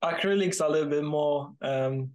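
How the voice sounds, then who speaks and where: A man in his twenties from Poland with a Polish accent, interviewing conversationally on a video call in halting phrases. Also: fairly high pitch, highs cut off. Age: 20s. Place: small room, indoors, video call.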